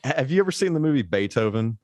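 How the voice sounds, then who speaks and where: A man with a baritone voice, chatting as a guest musician on a podcast, rambling with lots of fillers and restarts. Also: big pitch swings, fast, darkish tone, no echo, clean studio sound. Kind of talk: casual podcast conversation.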